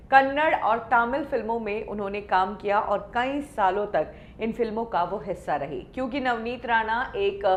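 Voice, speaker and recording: A woman's voice: medium pitch, dull audio. An Indian woman presenting a scripted news explainer in an emphatic storytelling manner.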